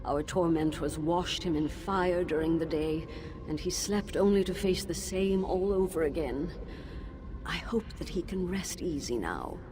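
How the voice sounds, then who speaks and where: An actress playing a formal, measured elf woman, a tormented mage teacher's soul in a fantasy game. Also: low-pitched, lightly acted. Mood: sorrowful. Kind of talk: scripted fantasy game dialogue. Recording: background music under the voice.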